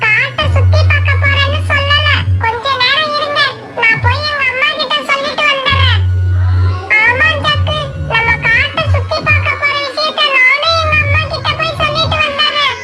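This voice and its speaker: A performer voicing a young, earnest cartoon bird in a children's moral story, speaking clearly like a dutiful child. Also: very high-pitched, steady tone, normal speed. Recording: music bed behind the voice.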